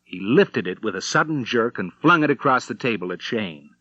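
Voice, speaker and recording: A man reading a western novel as an audiobook narrator, in measured, dramatic storytelling. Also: medium pitch, animated, sharp tone, no echo, lo-fi sound. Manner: measured storytelling with acted dialogue.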